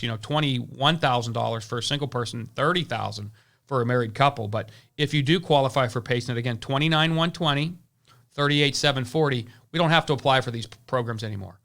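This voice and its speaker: A man talks through insurance advice in a loose, casual way. His voice is bright and forceful, and he often stumbles, repeating words and starting sentences over as he goes. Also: a little high. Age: 40s. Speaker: insurance agency owner.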